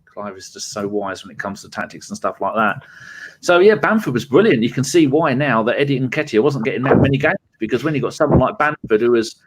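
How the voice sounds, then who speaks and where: A man's voice: mid-range voice, lively intonation, a little fast, husky, poor audio. A man co-hosting a football podcast, rambling through his notes with a jokey, teasing manner. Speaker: podcast co-host. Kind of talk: casual football chat.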